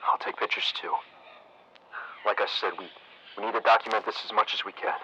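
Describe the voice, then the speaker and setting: A man playing a nervous 1960s history professor who talks in a hesitant, stammering, hushed way. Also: lowish voice, little pitch movement, fairly quick, slight background noise, poor audio. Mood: anxious. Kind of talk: audio log narration.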